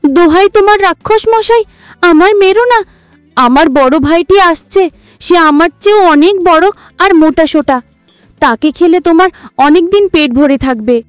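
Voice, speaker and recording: High-pitched pleading little goat voiced by a woman. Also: moderate pace, no room sound, soft background music. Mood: frightened, pleading. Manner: pleading, polite, wheedling. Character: small, timid young male goat pleading for his life. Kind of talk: children's cartoon animal acting.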